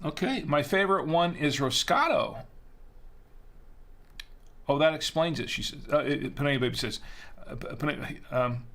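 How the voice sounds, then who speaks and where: A man's voice: on the high side, expressive, normal speed, long pauses, fairly bright tone. A middle-aged man from Florida hosting a casual wine-tasting livestream, chatting in a folksy way and reading out viewer comments. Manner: chatty, folksy, often trails off and restarts. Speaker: wine review livestream host.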